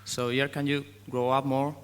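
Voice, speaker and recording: A man's voice: medium pitch, flat delivery, clear, resonant tone, big-room sound. Man presenting a demo. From Spain. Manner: non-native phrasing, halting. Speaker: game developer presenting. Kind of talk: conference tech presentation.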